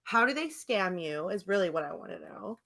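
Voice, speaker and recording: A woman co-hosting a casual comedic podcast, telling stories in an animated, slangy way, acting out her replies and laughing often. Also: lowish voice, brisk, vocal fry. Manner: animated storytelling, acts out her own text replies. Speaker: podcast co-host.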